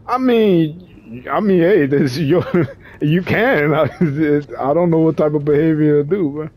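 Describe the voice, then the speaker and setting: Slangy cursing guy chatting, kinda high voice, muffled lo-fi sound. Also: measured pace. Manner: slangy, profane, laughs often. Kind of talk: casual chat.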